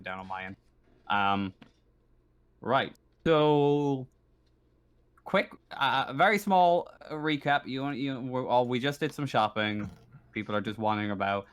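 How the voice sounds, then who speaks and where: A man working as game master, improvising narration of a tabletop roleplaying game in a halting way, often stammering and repeating words. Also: mid-range voice, clear, resonant tone.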